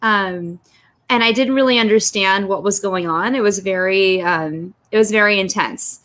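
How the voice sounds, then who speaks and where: A woman in her thirties from Russia, a native Russian speaker, talking as a guest on a video-call interview in a chatty, quick way. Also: medium pitch, a bit bright. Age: 30s.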